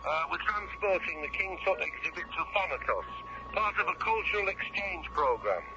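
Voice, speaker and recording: An actor playing a breezy, matey British space freighter captain in a radio drama, speaking colloquially through a radio transmission filter. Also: male voice, high voice, expressive, moderate pace, dull audio, over music. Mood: wry, matey. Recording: noisy, band-limited old radio drama transmission.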